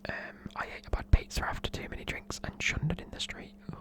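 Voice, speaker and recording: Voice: fast talker, bright, forceful tone. A person with a British accent whispering informal, chatty, matey explanations in an ASMR style. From United Kingdom. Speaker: presenter explaining slang.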